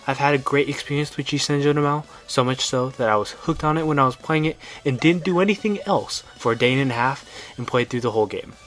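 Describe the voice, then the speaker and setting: A man's voice: mid-range voice, fast talker, a bit bright. A man narrating a scripted video game review in an enthusiastic, opinionated way. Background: background music.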